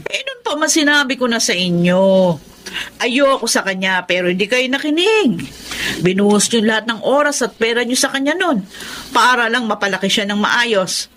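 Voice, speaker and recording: An actress playing a resentful, sharp-tongued adult child in a radio drama, scolding and scornful. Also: female voice, on the high side, expressive, normal speed, a little hiss or hum.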